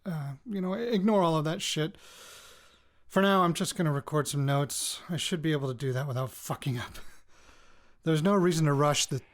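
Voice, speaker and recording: An actor playing a weary, jittery male radio reporter recording personal audio notes for a fiction podcast, in a halting, self-interrupting way. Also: on the high side, natural voice. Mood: frustrated.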